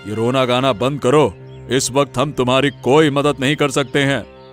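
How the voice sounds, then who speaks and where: A man's voice: medium pitch, fairly even intonation, moderate pace, soft background music, lightly acted. A man voicing a stern village boss in a cartoon who judges others with reproachful authority. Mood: stern judging. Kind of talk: Hindi moral-story cartoon voice acting.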